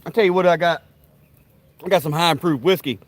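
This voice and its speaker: A man's voice: fairly high pitch, brisk. A man hosting a live stream outdoors at a backyard grill, rambling to his viewers with profane, rowdy trash talk and folksy banter. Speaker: livestream host.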